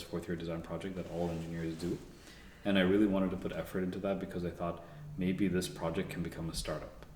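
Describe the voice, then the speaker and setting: A young man from Canada, a guest engineer, talking in a relaxed, rambling way with many fillers and restarts. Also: low-pitched, a little hiss or hum. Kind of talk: casual interview conversation. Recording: echoey room.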